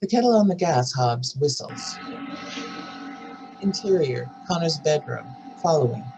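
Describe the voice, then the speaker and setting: A narrator reading screenplay stage directions aloud in a measured, scripted table-read style. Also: mid-range voice, expressive, over music, poor audio.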